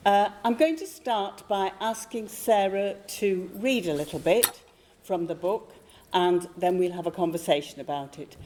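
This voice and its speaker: A woman hosting an author event in a library, speaking loudly to reach the room. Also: medium pitch, measured pace, slight background noise. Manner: loud, projecting voice for a room. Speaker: event host interviewing an author. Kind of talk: introducing a library talk.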